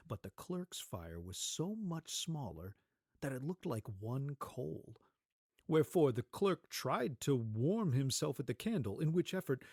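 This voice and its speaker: Male narrator for audio drama: theatrical, deliberate phrasing, animated melody, dry close studio sound. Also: medium pitch, no room sound. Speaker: narrator, actor.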